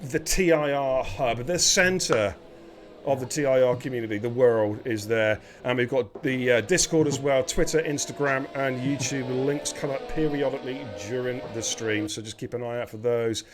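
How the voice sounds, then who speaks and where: A man with a slightly croaky voice commentating a live sim race, chatty and given to repeating himself. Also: mid-range voice, fairly even intonation, normal speed. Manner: chatty, laughs easily, stumbles and repeats words. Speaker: lead sim racing commentator.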